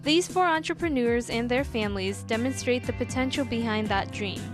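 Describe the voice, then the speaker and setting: Higher-voiced woman narrating a documentary. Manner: smooth, measured. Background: music.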